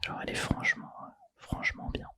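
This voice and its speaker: A young man whispering a casual, slangy chat for an ASMR channel, close to the mic. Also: brisk. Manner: casual and slangy, self-deprecating. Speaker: ASMR YouTuber.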